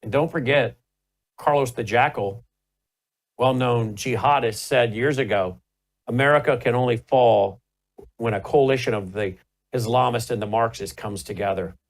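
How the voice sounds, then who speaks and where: A middle-aged man hosting a political talk show, speaking emphatically with forceful rhetorical questions. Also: medium pitch, moderate pace. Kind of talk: political commentary and panel hosting.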